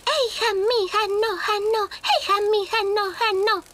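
A woman's voice: extremely high pitch, fairly even intonation, unhurried, slight background noise. A woman voicing a small, cheerful, playful female cartoon ladybug with a giggly, exclamatory manner. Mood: playful, excited. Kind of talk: children's cartoon dubbing. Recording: loud music underneath.

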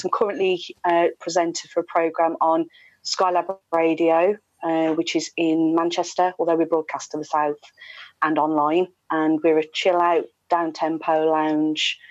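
Low-voiced woman, slightly dull audio. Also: no echo. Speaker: radio presenter, guest. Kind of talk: casual interview chat. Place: indoors at home. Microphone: earphones on a video call.

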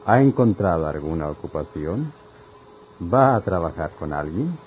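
An actor playing a kindly, concerned village priest in an old-fashioned radio serial, measured and paternal. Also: male voice, low voice, lively intonation, lo-fi sound, slight background noise.

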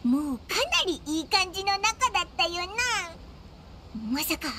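A woman voicing a small, cheeky, excitable childlike fairy companion in a Japanese anime game, speaking in a blunt, boyish way. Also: extremely high pitch, measured pace, slight background noise, full character voice. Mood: curious, cheerful. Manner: boyish, blunt, exclamatory speech. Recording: studio voice over game music. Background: game music.

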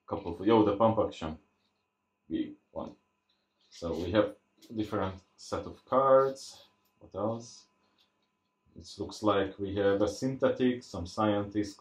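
A man giving casual, unscripted unboxing commentary, thinking aloud in a halting way as he presents. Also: sits low. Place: indoors at a tabletop. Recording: roomy, echoey sound.

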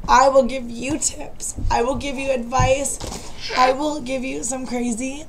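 A woman's voice: high voice, little pitch movement, unhurried, slight background noise, low-quality recording. A young woman losing her voice, chatting casually and apologetically into a webcam in a dim bedroom at night. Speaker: vlogger, makeup seller. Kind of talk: casual chat to camera.

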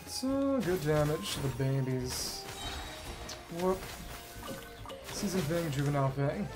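A man giving casual gameplay commentary as a streamer, thinking aloud in short, halting fragments. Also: on the high side, sing-song. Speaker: game streamer. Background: video game music.

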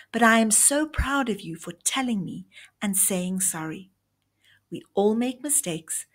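A woman reading the part of a kind, patient primary school teacher in a children's storybook, gently explaining. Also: mid-range voice, breathy edge.

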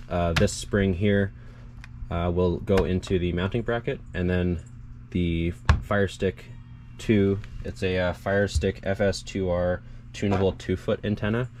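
Low-voiced man in a casual how-to. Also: darkish tone. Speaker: DIY vlogger demonstrating an installation. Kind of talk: casual hands-on how-to narration. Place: outdoors in a residential yard and driveway. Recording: clear background noise, outdoor ambience.

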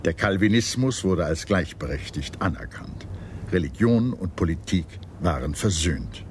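A man reading scripted historical narration as a documentary narrator, in a measured, formal manner. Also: sits low, background noise. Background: music behind the narration.

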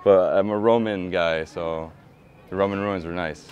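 A man's voice: deep voice, moderate pace, dull audio, a crowd in the background. Man, 30s, travel vlog host, lapel mic outdoors, talkative and upbeat, switches languages. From United States. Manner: chatty, excited, switches between languages. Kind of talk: casual travel vlog chat. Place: outdoors on a bridge and city streets.

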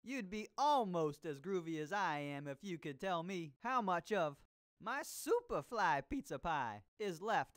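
Flamboyant, swooping disco TV chef. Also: male voice, high-pitched, sing-song, a bit bright, exaggerated voice. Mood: exuberant, groovy. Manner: jive-talking, showy, drawn-out exclamations. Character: exuberant groovy male disco cooking show host. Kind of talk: educational video game character voice acting. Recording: disco music behind the voice.

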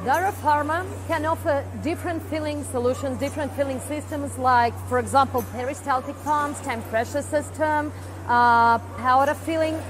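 A woman with a high voice walks listeners through a production line, explaining each step with fillers and restarts. She speaks into a lapel mic on a large factory floor, with music playing clearly underneath. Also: moderate pace, poor audio. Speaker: company presenter. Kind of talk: guided equipment tour.

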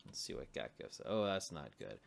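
A man's voice: very deep voice, very animated, moderate pace, vocal fry. A man giving an informal coding tutorial, muttering to himself and thinking aloud as he works on screen. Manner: mutters to himself while typing. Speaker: programming tutorial presenter.

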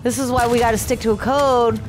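Higher-voiced woman reacting casually over game music. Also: fairly even intonation, a little fast. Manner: slangy, exclamatory. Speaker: reaction show co-host. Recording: loud game soundtrack behind. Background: video game music and sound.

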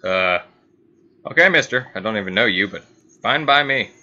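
Sharp, low-voiced man gaming. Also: expressive, normal speed, lo-fi sound. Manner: rambling, repeats himself, thinking aloud. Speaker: gaming channel host. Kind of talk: casual let's play commentary. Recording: faint background noise.

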